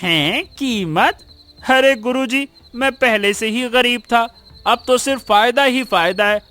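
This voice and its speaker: A man voicing an excitable, stubborn poor village schoolboy in a Hindi cartoon story, exclaiming and repeating words emphatically. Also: very high voice, slow pace, soft background music, full character voice. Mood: boastful, dismissive.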